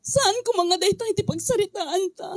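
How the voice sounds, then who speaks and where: Woman acting a high-voiced pleading Ilocano wife. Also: normal speed, dry recording. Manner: pleading, emotional. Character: pleading, aggrieved young wife in a domestic drama. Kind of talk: Ilocano radio drama acting.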